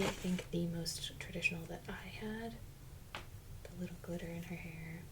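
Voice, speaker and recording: A young adult woman speaking in a soft, breathy, often near-whispered voice, chatting casually and slangily as an ASMR host. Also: medium pitch. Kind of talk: soft-spoken ASMR doll unboxing, casual chat. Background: loud noise, clicks and crinkles of unboxing.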